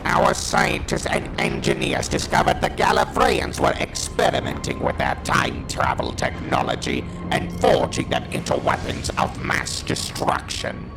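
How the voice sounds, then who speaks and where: Casting: stranded alien war machine, cold and fanatical, gravelly robotic rasp, slow halting delivery. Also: male voice, high voice, big pitch swings, over music, heavily acted, low-quality recording. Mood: grim, haunted. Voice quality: harsh, grating, electronic. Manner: halting, staccato, declamatory. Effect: robotic voice modulation. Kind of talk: dramatic first-person narration in audio drama.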